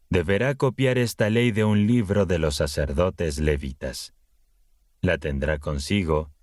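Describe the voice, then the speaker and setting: Slow, deep-voiced male scripture reader. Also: darkish tone, no room sound, studio quality. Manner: slow, solemn, measured reading. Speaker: Bible narrator.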